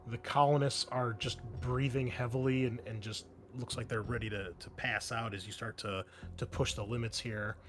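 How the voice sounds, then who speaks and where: A man acts as game master for a tabletop roleplaying game. His voice is of middling pitch, and he explains things in a halting, thoughtful way. Faint music plays behind him. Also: steady tone.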